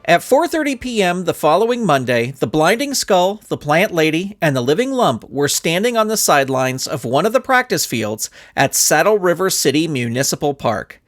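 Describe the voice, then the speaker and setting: A man narrating a scripted comic story in an expressive storytelling manner. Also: a little high, normal speed, hardly any pauses, no echo, clean studio sound. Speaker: author and narrator.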